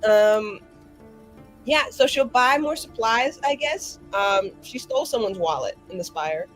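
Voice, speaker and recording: A woman's voice: fairly high pitch, expressive, a little slow, soft background music, rough recording. A woman playing her character at a tabletop game, rambling with fillers and restarts. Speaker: player. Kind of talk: in-character roleplay chat.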